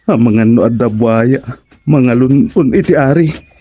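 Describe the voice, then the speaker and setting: An actor with an Ilocano accent playing a timid, whining soldier in a radio comedy, full of sighs and wails. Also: male voice, mid-range voice, measured pace, dark tone, husky. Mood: anxious, whining. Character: timid, cowardly, whining male soldier serving his king.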